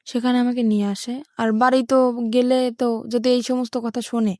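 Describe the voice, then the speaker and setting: A woman's voice: on the high side, little pitch movement, fairly quick, a little mellow, no room sound, clean studio sound. A young woman with a Bangladeshi accent, telling her life story as an interview guest.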